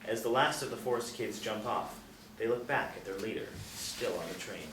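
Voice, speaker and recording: A man narrating stage directions at a screenplay table read in measured, dramatic storytelling phrases. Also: on the low side. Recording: echoey room, distant, faint noise.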